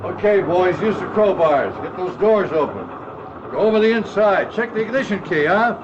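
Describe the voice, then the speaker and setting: An actor with an American accent playing a folksy, plainspoken local lawman in a radio mystery, halting and colloquial. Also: high voice, animated, highs cut off, music in the background. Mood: brisk, commanding. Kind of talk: radio mystery drama acting.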